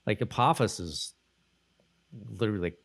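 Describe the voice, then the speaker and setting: A man's voice: fairly low pitch, expressive, normal speed. A man co-hosting a podcast, chatting casually and rambling a bit as he loosely retells scenes and dialogue. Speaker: podcast co-host. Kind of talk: casual conversational recap chat.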